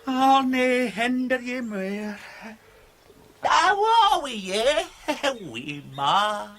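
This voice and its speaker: An old Scottish crone in a radio play, drawling very slowly and sliding wildly up and down. She is sly, mocking and eerie, with a cackle in the voice. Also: a little high, big pitch swings, a bit bright, a little hiss or hum, exaggerated voice. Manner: cackling, drawn-out, swooping delivery. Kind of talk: radio drama acting.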